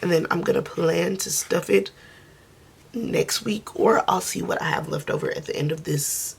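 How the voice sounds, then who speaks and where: A woman who is losing her voice speaks softly and casually, counting money aloud in a budgeting vlog. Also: normal speed, gravelly, husky. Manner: soft, low-key, counting under her breath. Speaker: budgeting vlogger.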